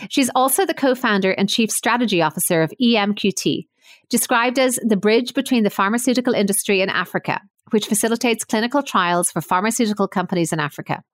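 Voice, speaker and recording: Female podcast host, mid-pitched, polished intros, dry studio sound. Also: quick pace, no room sound. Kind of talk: interview hosting.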